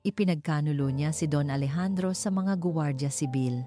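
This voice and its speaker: Woman voicing a grave old village teacher, mellow. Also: low voice, moderate pace, close to an everyday voice. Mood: grave recounting. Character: elderly male retired village teacher, grave and shaken. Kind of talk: dramatic storybook narration. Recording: music behind the voice.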